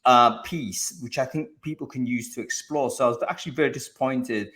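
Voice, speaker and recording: A man's voice: mid-range voice, brisk, fairly bright tone. A man hosting a live online show, talking casually to viewers in a discursive way, often repeating words as he feels for the next thought. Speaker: host of a live online show about recruiting.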